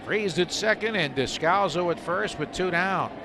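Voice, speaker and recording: A man's voice: sits high, moderate pace. A man calling a live baseball game on the radio as a play-by-play announcer. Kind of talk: live sports commentary. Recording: noisy live ballpark radio broadcast. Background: stadium ambience and noise.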